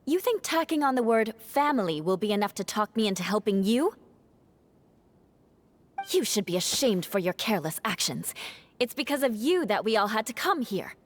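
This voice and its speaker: A woman's voice: mid-range voice, big pitch swings, moderate pace. A woman playing a proud, sardonic young noblewoman knight in a video game, haughty, sarcastic and clipped. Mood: indignant. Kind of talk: video game cutscene acting.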